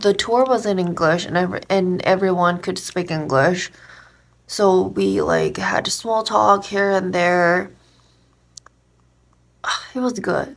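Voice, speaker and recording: A woman's voice: mid-range voice, vocal fry. Young korean woman vlogging solo, chatty and thoughtful, lots of likes and restarts. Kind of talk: casual vlog monologue.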